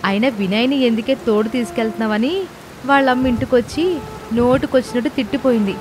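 A woman voicing a sweet, obedient young village daughter with a soft-hearted, pleading manner. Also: a little high, a little fast, background noise, lightly acted. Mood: complaining, pleading. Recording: music and rain sound effects behind.

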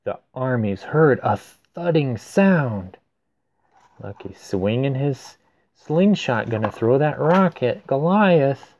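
A man's voice: mid-range voice, expressive, slow pace, a little mellow, dull audio. A man reading a rhyming children's picture book aloud, adding simple casual explanations for young listeners. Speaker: storyteller.

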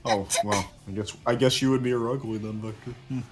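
A man's voice: lowish voice, fairly even intonation, fairly quick, over loud music, lightly acted, poor audio. A man voicing a level-headed cartoon ninja chameleon, dry and placating, hesitating as he banters off the cuff. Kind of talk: improvised in-character banter.

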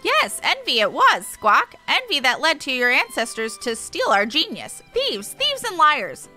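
A woman voicing an excitable birdlike game beastman who squawks and speaks emphatically in inverted word order. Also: high-pitched, measured pace, soft background music, voice pushed up, full character voice. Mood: agitated.

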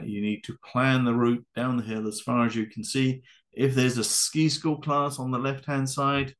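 A man narrating a slide-based training lecture for volunteer ski guides in a measured, instructive way. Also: medium pitch, creaky. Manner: measured, instructive, with occasional fillers. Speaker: adaptive ski course presenter. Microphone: voice-over.

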